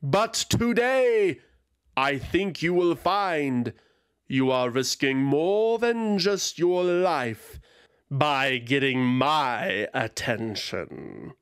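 A man's voice: on the high side, lively intonation, clear, resonant tone. A man doing a cruel, purring she-dragon. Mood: gloating. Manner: slow, menacing, purring taunts. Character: cruel, gloating female dragon.

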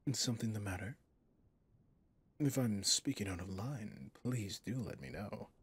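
A man playing a suave, possessive villain boss in an intimate ASMR roleplay, speaking in a courtly, seductive way with a breathy, husky voice. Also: fairly low pitch, animated, unhurried, exaggerated voice. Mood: gentle, courteous. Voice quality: breathy, husky, often near a whisper.

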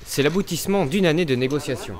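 A man reading a scripted news report voice-over in an even, brisk manner. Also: fairly high pitch, a crowd in the background.

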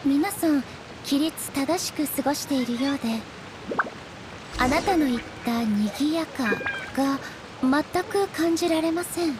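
A woman's voice: high-pitched, animated, measured pace, noisy background. A woman playing a dignified, composed female shogun in a video game, speaking formally and politely. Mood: calm, curious. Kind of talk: anime-style video game dialogue acting.

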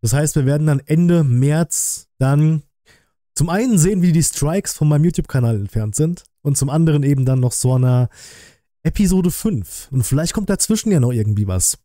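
Guy in his 30s, streamer chatting casually, really mellow dark tone, dry room close mic. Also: fairly high pitch, expressive, moderate pace, dry recording. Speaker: streamer hosting a reaction stream. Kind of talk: casual livestream chat. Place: small indoor home streaming room. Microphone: close desk microphone on an arm.

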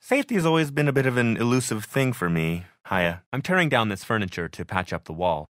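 A man's voice: mid-range voice, very animated, fast talker, a bit bright, no echo, studio quality. A man playing a nerdy, mild-mannered tinkerer in a zombie video game, speaking earnestly and a little hesitantly, pedantic when explaining. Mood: downcast, mild. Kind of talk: naturalistic video game voice acting.

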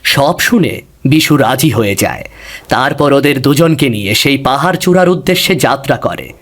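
A man's voice: mid-range voice, lively intonation, moderate pace, a bit bright. A man narrating a scripted fairy tale as storyteller.

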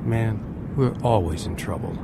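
An actor playing a skeptical, grumbling young sailor in a radio drama, blunt and informal. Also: male voice, on the low side, normal speed, heavy background noise, lightly acted.

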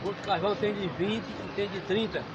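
A man's voice: high voice, fairly even intonation, fast, background noise. An older man with a Pernambuco accent selling goods at an outdoor street market stall, friendly and quoting prices with religious asides. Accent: Pernambuco Portuguese. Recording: lo-fi camera audio, busy street crowd.

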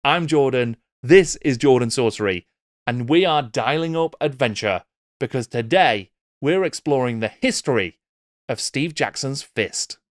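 A man's voice: mid-range voice, animated, unhurried, no room sound. A middle-aged British man presenting a scripted video essay with wry, theatrical emphasis. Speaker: YouTube host. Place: small book-lined home room. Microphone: close desk microphone with pop filter.

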